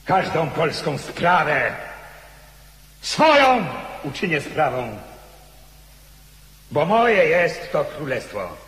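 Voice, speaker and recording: A man's voice: a little high, sing-song, fairly bright tone, heavily acted. Proud rebel nobleman's ghost, echoing hall. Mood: defiant, scornful. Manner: declamatory, slow, impassioned. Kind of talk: theatrical radio drama acting. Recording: echoey hall with long reverb.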